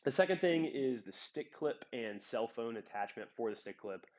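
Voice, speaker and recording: A man's voice: mid-range voice, normal speed. Stuffed-up guy rambling on a lo-fi phone. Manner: relaxed, rambling with many ums. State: slightly stuffed up. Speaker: hiking vlogger. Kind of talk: casual gear talk to camera. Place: in a trail town. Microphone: phone on a trekking-pole clip.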